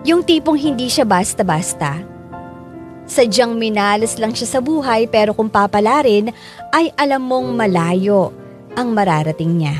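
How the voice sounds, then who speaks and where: A woman's voice: on the high side, lively intonation, measured pace, soft background music. A Filipino woman playing a lovelorn, conflicted schoolteacher in a radio drama. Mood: reflective. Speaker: voice actor and narrator in a radio drama. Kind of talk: Filipino radio drama acting and first-person narration.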